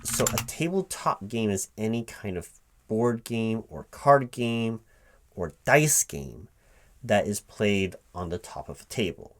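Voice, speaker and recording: A man speaking aloud in a one-sided job interview ASMR roleplay as a recruiting manager, halting and puzzled, often repeating things to check them. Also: on the low side, lively intonation. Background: computer keyboard typing.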